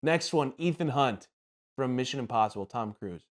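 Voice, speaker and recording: A man's voice: medium pitch, animated, moderate pace. A man hosting a casual interview, putting questions to his guest in an informal, conversational way. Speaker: podcast host asking questions.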